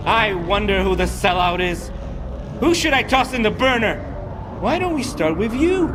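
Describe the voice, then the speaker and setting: A man's voice: extremely high pitch, noisy background. A man playing a suspicious, foul-mouthed gang boss in a video game, sneering and aggressive as he threatens.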